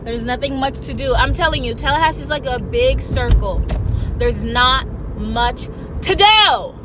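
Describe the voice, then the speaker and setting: A young woman vlogging a casual, chatty storytime from a car, animated and rambling, often interrupting herself. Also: high-pitched, unhurried. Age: young adult. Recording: noisy car interior with traffic hum.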